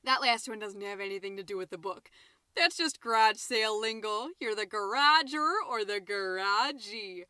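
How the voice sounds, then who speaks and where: A woman's voice: mid-range voice, moderate pace, sharp tone, smooth, dry recording, studio quality, lightly acted. A woman playing a chatty, scatterbrained, overexcited middle-aged suburban mom who hosts a comedy podcast, rambling, interrupting herself and stressing words theatrically. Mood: dramatic storytelling. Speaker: podcast host. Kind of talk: comedic character monologue as a homemade podcast.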